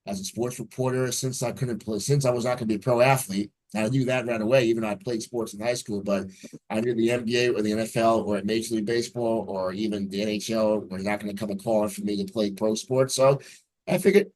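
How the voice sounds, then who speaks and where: A man's voice: fairly low pitch, fairly even intonation, fast, darkish tone, low-quality recording. A man in his forties from New Jersey, a radio news anchor telling his story as an interview guest in an upbeat, fluent way over a video call.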